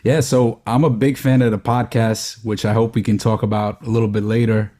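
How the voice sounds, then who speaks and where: A man's voice: on the low side, little pitch movement, a little fast, mellow. A man hosting a podcast, reading a polished introduction and then asking his guest questions in a friendly way. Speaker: podcast host. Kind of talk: scripted intro then casual interviewing.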